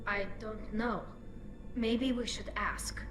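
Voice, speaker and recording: Female actor as young alien woman, earnest, firm, mid-pitched, echoing, music underneath, sci-fi game dialogue. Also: steady tone, slow pace, lightly acted. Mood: earnest, cooperative. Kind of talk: cinematic video game dialogue. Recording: echoey, music behind.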